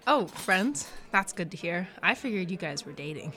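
A woman's voice: on the low side, big pitch swings, moderate pace, sharp tone. A woman playing a friendly, easygoing teenage girl with a casual manner in a fiction podcast. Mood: confident. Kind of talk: audio fiction podcast acting.

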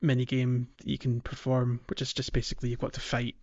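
A man's voice: medium pitch, completely flat delivery, quick pace, a little mellow. Guy chatting on a gaming podcast, hesitant explainer, kinda dull lo-fi sound. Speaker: podcast co-host. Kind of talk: casual gaming podcast chat.

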